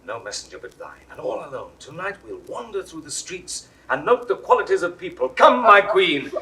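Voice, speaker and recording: A commanding Roman general in love, declaiming verse. His voice is bright and ringing, set fairly high and swinging widely in pitch. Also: big pitch swings, normal speed, heavily acted, rough recording. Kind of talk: 1960s classical stage audio drama.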